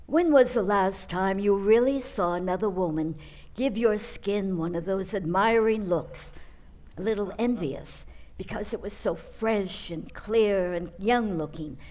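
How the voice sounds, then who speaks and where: A woman reading a scripted commercial in a 1940s radio style, measured and persuasive. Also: mid-range voice, darkish tone. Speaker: advertisement announcer.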